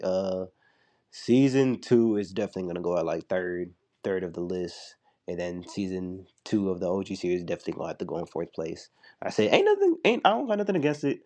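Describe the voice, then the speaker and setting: Deep-voiced young man chatting loosely. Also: darkish tone. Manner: loose and chatty, full of "like" and restarts. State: a little sick. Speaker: show reviewer. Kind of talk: casual spoken review.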